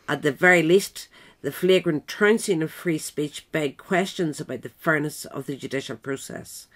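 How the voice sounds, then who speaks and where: Woman reading scripted narration, low-pitched. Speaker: narrator, reader. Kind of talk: scripted narration of a biographical essay.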